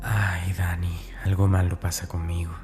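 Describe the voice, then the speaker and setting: A man playing a tormented, brooding young man in a confessional horror audio drama monologue, intense and breathy. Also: low-pitched, little pitch movement, moderate pace, music in the background, natural voice. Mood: eerily calm. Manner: intense, breathy, often dropping toward a whisper.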